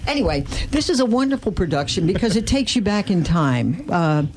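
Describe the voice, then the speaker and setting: An effusive woman hosts a live radio interview with a very lively, swooping delivery. She speaks emphatically and repeats phrases for effect. There is some background noise in the studio. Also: mid-range voice, brisk. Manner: effusive, complimentary, emphatic repetition. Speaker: radio host interviewing guests. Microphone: studio microphone.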